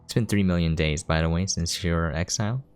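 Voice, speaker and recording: Deep-voiced man doing casual game commentary. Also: brisk. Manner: informal, offhand, mildly sweary. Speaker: video game streamer and reviewer. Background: soft game music.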